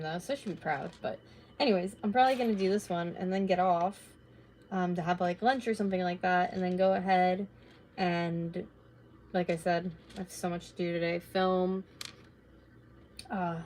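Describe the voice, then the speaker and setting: Chatty, slightly raspy woman in her late twenties. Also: on the low side, moderate pace, slight background noise. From North America. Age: late 20s. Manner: rambling, chatty, laid-back with filler words. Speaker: craft streamer. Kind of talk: casual live-stream chat while crafting.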